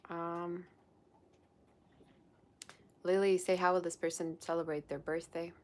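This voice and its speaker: A woman's voice: fairly low pitch, flat delivery, a little slow. A young woman, a psychic tarot reader, chatting casually on a livestream and reading viewer comments aloud. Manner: chatty and rambling, with many "like" fillers.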